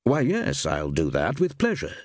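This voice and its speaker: A man voicing a courteous, easygoing gentleman traveller in an audiobook story, polite and measured in manner. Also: low-pitched, wildly swooping pitch, no echo, studio quality, full character voice. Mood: helpful, curious.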